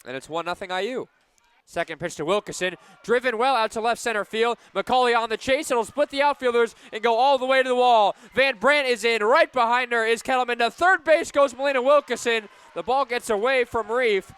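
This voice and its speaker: A man doing live play-by-play for a softball radio broadcast outdoors, punchy on big plays and conversational between them. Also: very high-pitched, clean tone. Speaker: play-by-play sports announcer.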